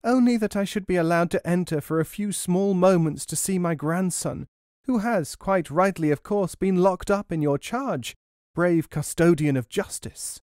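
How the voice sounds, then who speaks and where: A man's voice: high-pitched, moderate pace, darkish tone, dry recording, clean studio sound, voice pushed up, close to an everyday voice. A man voicing a cunning old crone with a screechy, wheedling voice. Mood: fawning.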